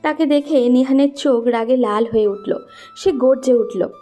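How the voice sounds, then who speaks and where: Mellow high-voiced woman narrator. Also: moderate pace, soft background music. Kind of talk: scripted romantic story narration.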